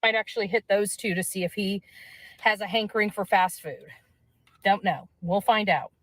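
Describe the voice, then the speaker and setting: A woman with a mid-pitched voice gives a casual vlog update as an amateur investigator. Her voice is recorded dry, with very little room sound. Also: steady tone, moderate pace, a bit bright, no echo. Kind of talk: casual on-location update to viewers.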